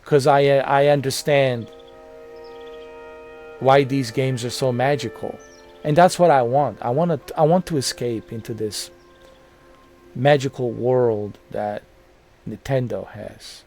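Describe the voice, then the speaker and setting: A man's voice: mid-range voice, fairly even intonation, slow pace, long pauses, darkish tone, faint music behind. A man in his forties, hosting a gaming channel and talking casually to his viewers, rambling and repeating himself with many fillers. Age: 40s. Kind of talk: casual talk to camera.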